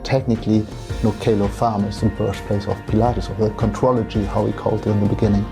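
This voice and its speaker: A man's voice: on the low side, little pitch movement, fast talker, music in the background. A man in his thirties with a German accent, telling his story casually as an interview guest. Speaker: podcast guest, entrepreneur.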